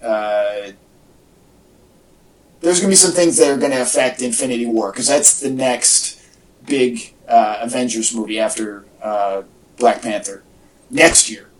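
Man, medium pitch, podcast co-host, casual fan talk, enthusiastic and rambling, slightly distant mic. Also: unhurried. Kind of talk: casual fan chat about superhero movies. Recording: echoey, off-mic home podcast audio.

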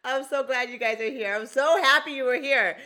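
Bright-voiced chatty woman podcast host. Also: sits high, a little fast. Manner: chatty, effusive, frequent restarts. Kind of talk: casual podcast conversation.

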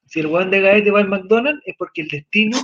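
High-voiced Chilean man, slangy and joking. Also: animated, brisk, lo-fi sound. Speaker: podcast host. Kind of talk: casual chat reading viewer comments.

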